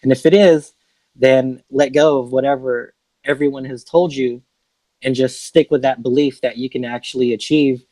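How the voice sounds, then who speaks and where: A relaxed man from the Bay Area chats as a guest, easing into his points with plenty of ums and restarts. His voice sits at an ordinary pitch. The sound is dry and close, with no room around it. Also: moderate pace, no echo, rough recording. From San Francisco Bay Area. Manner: laid-back, hesitant, many fillers and restarts. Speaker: guest music producer. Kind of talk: casual podcast chat.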